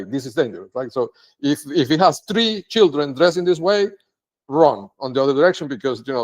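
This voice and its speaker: Native Spanish-speaking man, solo vlog monologue, halting and rambling, voice sits a bit high. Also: darkish tone. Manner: rambling, halting, tags sentences with "right?". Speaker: video blogger. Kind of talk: unscripted monologue to camera.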